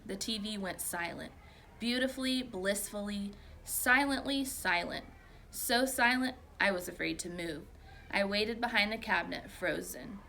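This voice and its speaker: Bright-voiced woman reading a storybook aloud, gravelly edge. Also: mid-range voice, unhurried, a little hiss or hum. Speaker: teacher reading a children's book aloud. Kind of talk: expressive storybook read-aloud narration.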